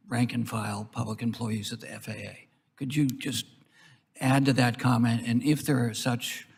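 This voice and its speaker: A very raspy, creaky-voiced American man questions witnesses as a congressman. He speaks in halting, looping phrases. The sound rings with the long reverb of a hearing room. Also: mid-range voice, darkish tone. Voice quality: husky, gravelly. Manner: halting, circling, with broken-off phrases. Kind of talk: rambling committee questioning. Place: large indoor committee hearing room.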